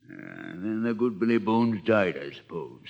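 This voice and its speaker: An actor playing a sly, jovial old one-legged pirate in a storybook record, wheedling and chuckling in hearty sailor talk. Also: male voice, on the low side, animated, moderate pace, lo-fi sound, natural voice. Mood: wheedling, prying. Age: older. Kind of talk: dramatised storybook record acting.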